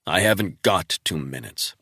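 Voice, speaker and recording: A man's voice: deep voice, expressive, moderate pace, bright, forceful tone, no room sound, full character voice. A man voicing a hard, grim male agent who speaks in terse, clipped lines, in a dry audiobook reading. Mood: cold.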